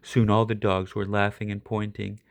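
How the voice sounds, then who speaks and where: A man reading a children's picture book aloud as an expressive storyteller. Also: on the low side, normal speed, vocal fry, clear, resonant tone. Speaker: storybook reader.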